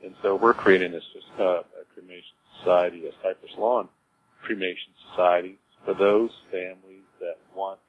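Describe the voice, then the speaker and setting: A low-pitched man gives informal interview answers as an executive. He explains things haltingly and often repeats his words. The sound is dull and lo-fi, with clear noise. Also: fairly even intonation. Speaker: cemetery executive being interviewed.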